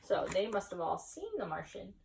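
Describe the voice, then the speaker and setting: A woman reading a picture book aloud expressively, pausing to explain and ask children questions. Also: medium pitch, gravelly, far from the mic, background noise. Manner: animated, pauses to explain and question. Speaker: teacher reading at school story time. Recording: voice some way from the mic.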